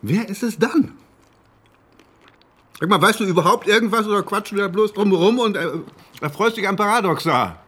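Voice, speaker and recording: A man's voice: sits high, normal speed, pauses often, a bit bright, slightly rough. A man playing a frightened, brooding astronomer with a sarcastic edge in a radio drama, speaking in an agitated, questioning way.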